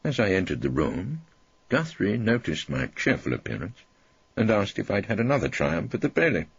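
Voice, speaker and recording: A man's voice: fairly low pitch, big pitch swings, moderate pace, creaky, breathy edge, no room sound, muffled recording. An English man narrating a scripted first-person story for an audiobook in a wry, theatrical manner.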